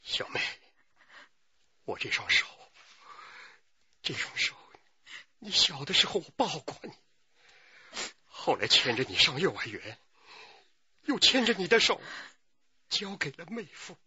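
An actor playing a tender, guilt-ridden condemned older brother in a radio drama, hoarse and breathy. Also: male voice, fairly high pitch, big pitch swings, a little slow, pauses often, pushed and bright, no echo, muffled recording, full character voice. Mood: broken, tender. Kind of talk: Chinese radio drama acting.

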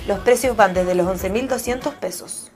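A woman reads scripted news voice-over about upcoming events in a smooth, even way. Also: medium pitch, moderate pace, faint music behind. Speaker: voice-over narrator.

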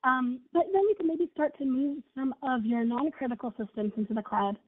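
A woman's voice: high-pitched, a little fast. A woman with a scratchy voice from being ill presents a webinar as a product manager, in an upbeat way with many fillers like "you know" and "right". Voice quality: husky. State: scratchy voice from illness. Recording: tinny phone-line audio.

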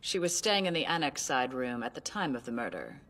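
An actress in an anime dub playing a prim, formal mother, overly polite and commanding, with a sharp temper. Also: a bass voice, very animated, fairly quick, exaggerated voice.

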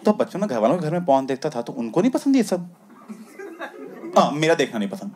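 Young stand-up guy, very lively pitch. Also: fast, background noise. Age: young adult. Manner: acts out scenes, drops low for asides then jumps high. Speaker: stand-up comedian. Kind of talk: stand-up storytelling. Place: comedy club stage, indoors. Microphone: handheld mic. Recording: live club audio with loud audience laughter.

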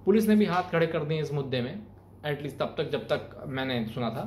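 A man's voice: fairly high pitch, lively intonation, brisk, darkish tone. A young man with an Indian accent giving emphatic, colloquial opinion commentary to camera in a small room. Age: young adult. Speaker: video commentator, channel host. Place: small plain room indoors. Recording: clearly noisy room recording.

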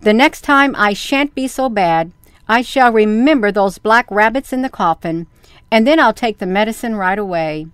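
A man's voice: high voice, animated, moderate pace, a bit bright, smooth, no room sound. A woman voicing a young wooden puppet boy in a storybook, earnest and full of excuses.

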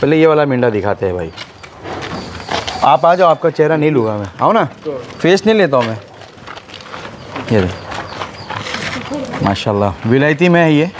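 A man's voice: fairly high pitch, very animated, normal speed, long pauses, noisy background. A man vlogging casually at an outdoor livestock farm, chatting and asking the seller one question after another. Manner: chatty, asks many follow-up questions. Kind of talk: casual on-location vlog chat. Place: outdoor goat farm yard.

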